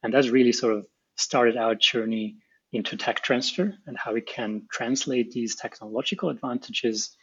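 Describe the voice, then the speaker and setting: A man's voice: mid-range voice, steady tone, normal speed, husky, highs cut off. A middle-aged man from Austria with an Austrian accent, a native German speaker, explaining his work as a guest in an interview. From small Alpine town in Austria. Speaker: guest, robotics researcher and company founder.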